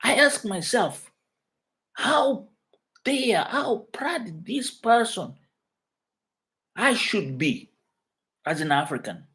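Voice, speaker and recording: A man from Mozambique, whose first language is Chuabo, hosting a casual live stream from his living room and chatting with viewers in halting English full of fillers and restarts. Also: high voice, big pitch swings, bright, forceful tone. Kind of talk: casual live chat reading comments.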